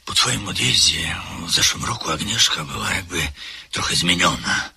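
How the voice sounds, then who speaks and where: The laconic son of a country manor. His voice is low, gravelly and husky. He sounds a bit off-mic. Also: animated, moderate pace, pushed and bright, exaggerated voice. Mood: subdued.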